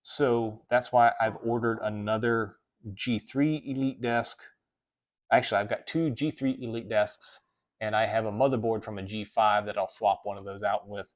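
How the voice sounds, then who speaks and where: A man with a Southern US accent hosting a casual tech livestream, rambling, correcting himself and reading viewer chat aloud. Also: medium pitch. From American South. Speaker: livestream host. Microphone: desk microphone.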